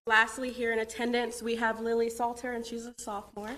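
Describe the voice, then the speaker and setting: A woman's voice: a little high, completely flat delivery, fairly quick, over a noisy crowd. A woman, a school athletic coach, giving an informal thank-you speech at an awards ceremony. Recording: distant mic, very noisy crowded event.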